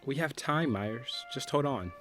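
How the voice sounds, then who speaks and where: An actor playing a steady, practical police colleague in a radio drama. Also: male voice, medium pitch, a little slow, soft background music. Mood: level, practical.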